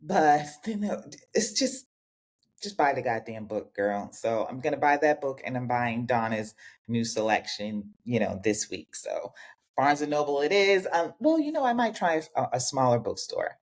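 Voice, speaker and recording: A woman hosting a casual talk show with a co-host, chatting animatedly and swearing freely, from Rhode Island. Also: moderate pace. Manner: animated, swears casually, big pitch jumps. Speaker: podcast host. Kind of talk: casual chat.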